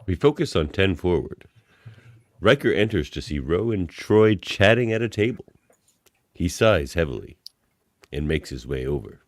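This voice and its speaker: A man with a very deep, highly animated voice narrates scene directions. He reads them comically, as the narrator of an amateur radio theatre podcast. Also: moderate pace, long pauses, dry recording. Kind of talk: comic reading of stage directions.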